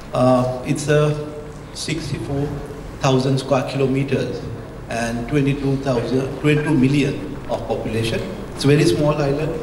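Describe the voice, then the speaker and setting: A middle-aged man from Sri Lanka with a Sri Lankan accent, giving an architecture lecture into a handheld mic, halting and full of fillers. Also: medium pitch. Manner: hesitant, searching for words, frequent fillers. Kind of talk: presentation with slides. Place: lecture hall, indoors. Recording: echoey hall with background hum.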